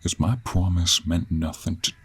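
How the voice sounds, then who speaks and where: A man playing a gruff, stubborn cowboy monster hunter in an audio role play, with an American Western accent, a folksy drawl and a husky voice. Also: level tone, moderate pace, fairly bright tone, exaggerated voice. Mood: stern, exasperated. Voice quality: husky, low.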